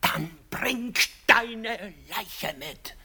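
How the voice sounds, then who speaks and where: An actor in a radio drama playing a jealous, self-pitying novelist lover, theatrical, bitter and mocking, swinging from mutters to outbursts. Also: male voice, sits high, animated, drawn-out words, bright, forceful tone, husky, heavily acted.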